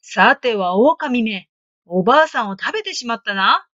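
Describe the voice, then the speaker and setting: A woman voicing a kindly male hunter in a storybook reading, calm and concerned. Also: on the high side, moderate pace, dry recording, lo-fi sound.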